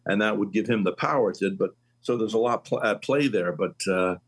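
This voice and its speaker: A husky man in his late sixties hosts a relaxed conversation about faith. He tells stories with sudden leaps in pitch and an easy, chatty pace. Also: fairly quick. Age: 60s. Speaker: host.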